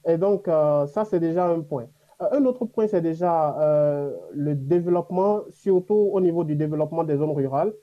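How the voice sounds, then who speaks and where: A man's voice: fairly high pitch, moderate pace, dull audio. A man from Africa, a native speaker of an African language, answering in an unscripted panel interview, reflective and earnest, with hesitations. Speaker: interview guest living in China.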